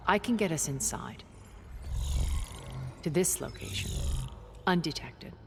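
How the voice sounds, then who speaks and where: A woman's voice: low-pitched, animated, creaky, full character voice. Cool, guarded elite woman, reverberant. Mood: grave, controlled. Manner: measured, formal, cryptic. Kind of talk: video game cutscene acting. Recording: long reverb, music behind. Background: music.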